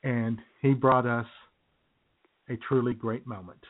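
A man's voice: mid-range voice, flat delivery, a little slow. A middle-aged man, co-hosting a radio comedy show and telling rambling stories in casual banter. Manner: chatty, rambling storyteller with chuckles. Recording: tinny phone-line sound.

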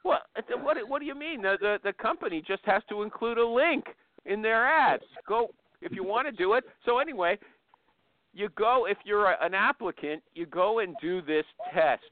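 A man hosting an informal live interview, blunt and quick to interrupt and steer his guest, heard over a narrow phone connection. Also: high voice, expressive, no room sound. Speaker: host interviewing a guest on a live online show. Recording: narrow, thin phone-line sound.

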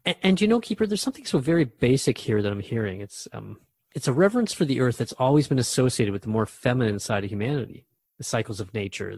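Radio drama: thoughtful everyman male host an actor, curious and conversational, lightly rough-textured voice, close dry recording. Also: mid-range voice, a little mellow, dry recording. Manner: inquisitive, conversational, hesitant asides. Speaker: radio host interviewing the Keeper.

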